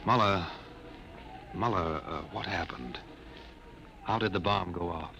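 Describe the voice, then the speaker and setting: Brisk military colonel, very noisy old recording. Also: male voice, deep voice, vocal fry. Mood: hushed, probing. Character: brisk, authoritative male military colonel in command. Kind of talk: 1950s radio drama acting. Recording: noisy 1950 radio broadcast recording.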